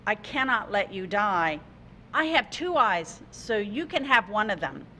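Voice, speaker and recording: A woman's voice: medium pitch, big pitch swings, measured pace, sharp tone, slight background noise. A woman voicing a curious, eager little male mouse in a story told over a video call. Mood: earnest.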